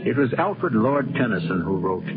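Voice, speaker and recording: A man narrating as host of a seventies radio drama in a measured, theatrical, portentous manner. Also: medium pitch, big pitch swings. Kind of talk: scripted 1970s radio narration. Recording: tinny radio recording with loud music.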